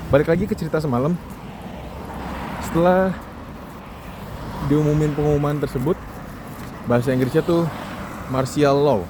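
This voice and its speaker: A man's voice: fairly high pitch, moderate pace, long pauses, background noise. A young man from Indonesia with an Indonesian accent, giving a casual, conversational monologue to viewers and tossing questions at them. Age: young adult. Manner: informal, conversational, addresses the audience with questions. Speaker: vlogger, foreign student.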